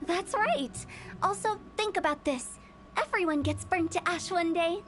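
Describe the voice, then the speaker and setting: A woman's voice: extremely high pitch, a little slow, a bit bright, slight background noise. A woman voicing a playful, eccentric young funeral parlor director in a video game, with a mischievous, theatrical and breezily morbid manner. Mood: cheerfully morbid.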